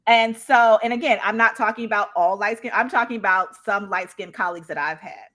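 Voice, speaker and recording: Bright-voiced, emphatic woman in discussion. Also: mid-range voice, a little fast. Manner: emphatic, often checks in with "right?". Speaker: guest speaker on a live panel. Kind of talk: impassioned conversational discussion of personal experience. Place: live online video call.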